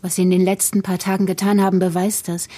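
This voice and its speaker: Actress doing controlled scheming wife in a podcast drama, bit husky, measured and polite, very articulate. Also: female voice, lowish voice, little pitch movement, mellow, natural voice. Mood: solemn, pleading. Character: cool, controlled, calculating wife with false sincerity. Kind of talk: German fiction podcast acting.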